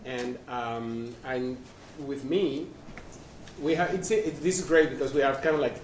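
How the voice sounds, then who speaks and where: A man's voice: mid-range voice, steady tone, measured pace. Rambling man hosting a panel. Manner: chatty, rambling with many restarts and fillers. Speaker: panel moderator. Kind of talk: casual live panel introduction. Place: event room at a museum. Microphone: live stream. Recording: roomy sound from a distance with faint noise.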